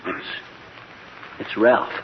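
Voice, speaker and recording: An actor playing a grumpy, jealous, blustering husband in a 1940s radio comedy, with a sulky, folksy manner. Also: male voice, very slow. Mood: grumpy, wounded. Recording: muffled, noisy 1940s radio recording.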